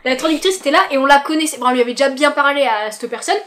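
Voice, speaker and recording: A young woman with a high voice chats casually, telling a story. She teases her friend in a lively, talkative way. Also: rapid-fire. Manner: teasing, chatty. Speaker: co-host friend.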